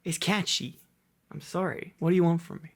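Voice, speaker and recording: A man's voice: fairly high pitch, little pitch movement, moderate pace, no room sound. A young man speaking in a casual, playful and teasing boyfriend-roleplay ASMR style, rambling as he chats to the listener. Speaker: ASMR roleplay performer, reader.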